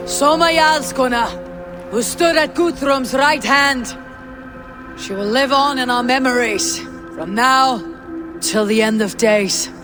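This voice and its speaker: A woman voicing a steadfast, solemn Viking warrior in a video game, with grave, measured, formal delivery. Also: sits high. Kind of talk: dramatic video game acting. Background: music.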